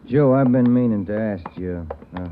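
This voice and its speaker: A man's voice: fairly low pitch, normal speed, close to an everyday voice. An actor playing a friendly, easygoing police sergeant who chats with his partner, in 1940s radio drama. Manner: chatty, easygoing. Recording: thin, tinny 1940s radio broadcast with hiss.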